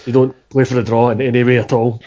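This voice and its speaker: A man's voice: mid-range voice, level tone, fast, dark tone, slight background noise. A man chatting casually about football on a podcast, with hesitant restarts. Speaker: podcast co-host. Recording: dull lo-fi sound.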